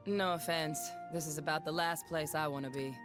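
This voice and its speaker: An actress playing a weary, resolute woman who hunts spirits in a game, with a blunt, calm, dryly funny manner. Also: sits low, a little fast, over music, lightly acted. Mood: dry, brisk. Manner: blunt, calm, dry humor. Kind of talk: game character voice lines.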